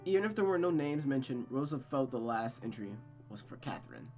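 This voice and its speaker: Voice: mid-range voice, expressive, brisk, darkish tone. Visual novel reader narrating over music. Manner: stumbles and rereads phrases. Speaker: host reading a visual novel aloud. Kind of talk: dramatic story narration with casual asides.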